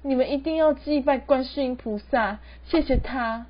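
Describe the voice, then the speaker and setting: A woman's voice: sits high, normal speed. A teenage girl with a Taiwanese Mandarin accent playing a wronged, emotional young ghost daughter in an amateur radio drama. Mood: sorrowful. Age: teens. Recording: dull lo-fi student recording.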